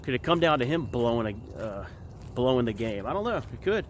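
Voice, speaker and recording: Hoarse man rambling outdoors. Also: mid-range voice, sing-song, a little hiss or hum. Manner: rambling, halting with many fillers. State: hoarse from a cold. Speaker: vlogger giving football picks. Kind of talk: casual outdoor vlog chat. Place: outdoors at an empty field in winter.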